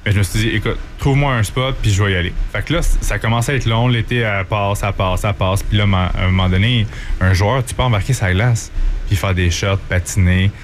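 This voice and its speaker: A man in his thirties with a Québécois accent talks through his hockey years with an easy, unhurried rhythm, often pausing on fillers. His voice sits low. A faint noise runs under the recording. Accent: Québécois French. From Quebec. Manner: relaxed storytelling, many fillers and restarts. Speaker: former hockey goaltender, guest. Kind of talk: casual interview.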